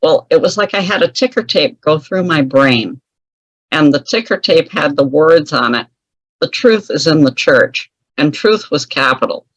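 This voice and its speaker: A woman's voice: sits low, expressive, moderate pace, no room sound, lo-fi sound. A woman telling a personal story as an interview guest, chatty and wry, laughing often at her own remarks. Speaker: guest author.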